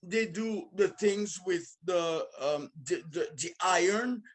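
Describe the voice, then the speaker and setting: A man with a Haitian accent who speaks in a high voice and takes his time. He talks earnestly as a musician guest in a casual conversation, often restarting and repeating words. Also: slow pace, a crowd in the background.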